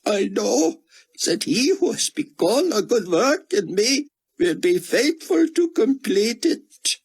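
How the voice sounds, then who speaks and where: A man voicing a grieving middle-aged male scholar with an Israeli accent, speaking high and squeaky from a constricted throat. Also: big pitch swings, takes it slowly, husky, full character voice.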